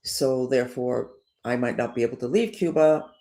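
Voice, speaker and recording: A woman's voice: a bass voice, moderate pace. An older woman, a spiritual teacher, talking in a digressive, emphatic personal monologue. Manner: digressive, emphatic, lively up-and-down delivery.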